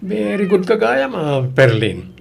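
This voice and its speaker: A man playing a sly, ingratiating boyfriend in an audio drama, flattering and wheedling as he talks. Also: high voice, unhurried, vocal fry, a little hiss or hum, exaggerated voice. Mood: creepy wheedling.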